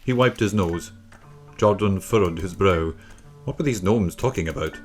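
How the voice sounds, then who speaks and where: A man's voice: low-pitched, normal speed. A man narrating a children's story from a script. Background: faint music.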